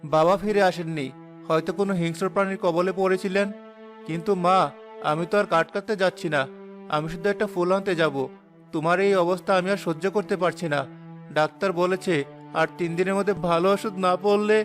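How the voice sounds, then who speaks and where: A man acts a devoted poor young villager in a cartoon tale. His voice is high for a man and earnestly pleading, resolute underneath. Faint music accompanies it. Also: fairly even intonation, fairly quick, close to an everyday voice. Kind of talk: cartoon drama dialogue.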